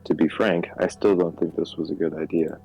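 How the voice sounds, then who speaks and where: A man plays a measured economic minister in a political game. The voice is very low and creaky, explaining policy formally to a president over soft background music. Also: steady tone, poor audio. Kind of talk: fan voice-over reading of game dialogue. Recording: music behind the voice.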